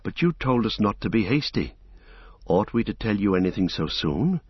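Man playing a small, earnest, husky young halfling. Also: on the low side, very animated, moderate pace, no room sound, muffled recording. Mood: polite, cautious. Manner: polite, tentative questioning.

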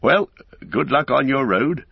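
A man's voice: medium pitch, expressive, measured pace, gravelly, husky, dry recording, narrow, tinny audio, pitched above the performer's own voice, full character voice. A man voicing a good-hearted, easily flustered innkeeper in a storybook, rambling along in folksy, rustic phrases. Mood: hearty, frank. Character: broad-faced, garrulous, good-hearted, flustered male innkeeper. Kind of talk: audiobook character acting.

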